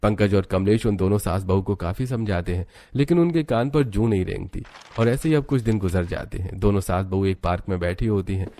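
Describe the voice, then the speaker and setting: Low, mellow male story narrator. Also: fairly quick, hardly any pauses.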